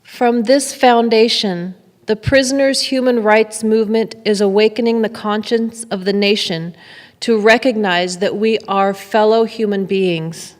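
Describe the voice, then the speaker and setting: A woman's voice: medium pitch, steady tone, a little slow. A middle-aged woman reading a formal script aloud from a lectern. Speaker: reader in readers theater. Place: large temple hall, at lectern. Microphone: microphone on stand. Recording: echoey hall.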